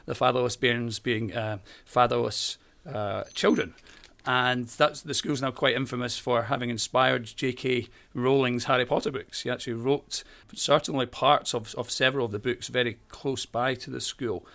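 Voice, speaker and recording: A man's voice: mid-range voice, steady tone, normal speed, vocal fry, fairly bright tone. A man in his thirties with a Scottish accent, a teacher being interviewed and chatting in a friendly, talkative way with plenty of fillers and restarts. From Scotland. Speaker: primary school teacher. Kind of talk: conversational interview.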